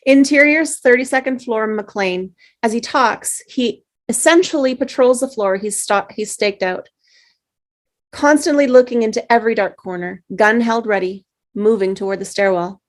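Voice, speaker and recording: A woman reading the stage directions at a table read, in plain, steady narration. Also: a little high, moderate pace.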